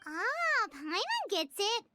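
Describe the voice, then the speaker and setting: A woman voicing a chirpy, naive, childlike fairy companion in a video game, with a squeaky voice. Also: very animated, takes it slowly, very noisy, heavily acted. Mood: catching on.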